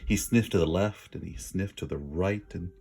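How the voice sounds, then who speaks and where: A man's voice: sits low, moderate pace, gravelly, darkish tone, breathy edge, soft background music. A man reading a children's story aloud with dramatic, emphatic word stress and an informal manner. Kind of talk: expressive read-aloud narration with casual asides.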